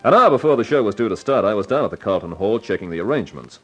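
A man's voice: lowish voice, expressive, rapid-fire, fairly bright tone. An actor playing a wry, flippant advertising man who narrates a 1950s radio crime drama. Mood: wry, pleased. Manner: wry, flip, hardboiled.

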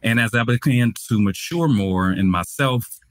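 A man's voice: medium pitch, normal speed, no echo, studio quality. A man in his twenties hosting a podcast, talking openly and reflectively about his own life. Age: 20s. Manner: open, reflective, candid. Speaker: podcast host. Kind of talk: podcast conversation, personal sharing.

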